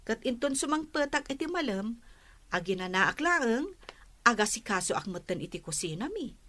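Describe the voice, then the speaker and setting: A woman's voice: a little high, big pitch swings, normal speed, pushed and bright, heavily acted. A woman playing a humble, obliging, hardworking younger village woman in an audio drama. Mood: casual. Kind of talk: Ilocano audio drama dialogue.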